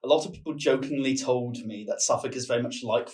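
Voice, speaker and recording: A man's voice: medium pitch, fast, darkish tone. Man, guest on a chat podcast, wry and dry, distant mic. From England. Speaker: history student guest. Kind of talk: casual chat.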